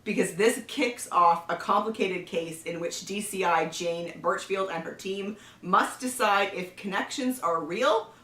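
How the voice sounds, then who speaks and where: A woman with a cold hosting a casual book review, chatty, often laughing and clearing her throat. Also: mid-range voice, measured pace, fairly bright tone. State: has a cold. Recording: roomy echo, somewhat far from the mic.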